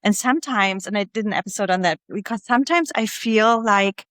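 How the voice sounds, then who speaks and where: A woman's voice: medium pitch, brisk, fairly bright tone, no room sound, studio quality. A woman, hosting a podcast and chatting with a guest, rambling and laughing often. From Germany. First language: German. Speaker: podcast host. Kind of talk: casual interview chat.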